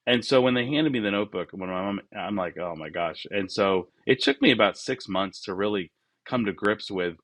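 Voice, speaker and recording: Low-pitched man, close dry sound. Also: animated, moderate pace, a bit bright, no room sound. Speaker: podcast guest, business founder. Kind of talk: casual conversational interview.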